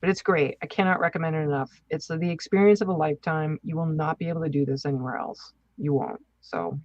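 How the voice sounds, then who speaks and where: A woman's voice: low voice, lively intonation, fast, a little mellow, no room sound, muffled recording. A woman co-hosting a podcast in casual, chatty and informal style, speaking with enthusiasm. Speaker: podcast co-host.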